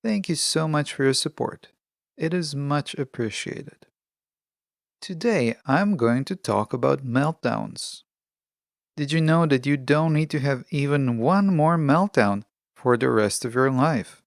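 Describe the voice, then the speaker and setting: A podcast host delivering a scripted self-help monologue in a measured, instructional way with deliberate phrasing. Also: medium pitch, animated, vocal fry, no room sound, studio quality.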